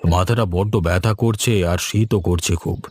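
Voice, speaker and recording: A man's voice: deep voice, steady tone, moderate pace, darkish tone, soft background music. A man voicing a sick, feeble elderly father-in-law in a cartoon, sounding feverish and ailing. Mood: weary, pained. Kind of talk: Bengali moral-tale cartoon dubbing.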